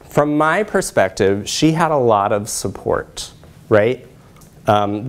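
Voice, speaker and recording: A man in his thirties giving a research presentation in a lecture hall, explaining in a measured way. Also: medium pitch, a little mellow, clean tone.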